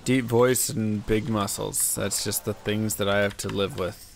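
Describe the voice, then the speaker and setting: A man with a deep voice talks slowly and easily, drifting from thought to thought as he plays. His voice has a gravelly edge. Faint typing and clicks sit behind him. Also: level tone, a little hiss or hum. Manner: laid-back and rambling. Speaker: streamer. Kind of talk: casual chat while gaming.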